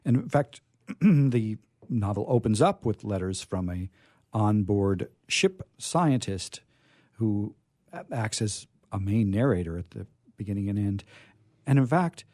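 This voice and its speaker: Low, bright-voiced man hosting, halting delivery. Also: lively intonation, dry recording, studio quality. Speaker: host. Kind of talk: casual literary discussion.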